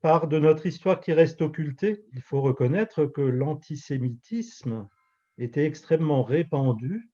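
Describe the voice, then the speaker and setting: A man in his fifties from Alsace whose first language is Alsatian, a pastor and author answering interview questions in a measured, reflective way with frequent hesitations. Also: fairly high pitch, expressive, mellow, highs cut off. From Alsace, France. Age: 50s.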